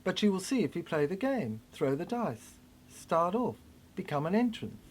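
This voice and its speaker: A narrator performing a theatrical spoken-word monologue in an emphatic way, with halting repeats. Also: medium pitch, very animated, darkish tone, a little hiss or hum. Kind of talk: dramatic spoken-word narration of a cryptic science-fiction monologue.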